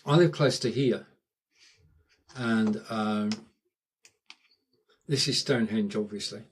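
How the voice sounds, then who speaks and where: A man's voice: on the low side, takes it slowly, slight background noise. Chatty Lancashire man hosting a live stream. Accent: Northern English, Lancashire. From Lancashire, England. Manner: chatty, thanks viewers by name, rambling asides. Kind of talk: casual live-stream chat. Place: home in rural England.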